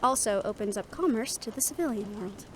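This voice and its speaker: A woman playing a composed, protective fantasy adventurer in an audio roleplay, easygoing, teasing and soft-spoken, with a creaky voice. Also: mid-range voice, animated, brisk, a little hiss or hum, lightly acted. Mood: wry.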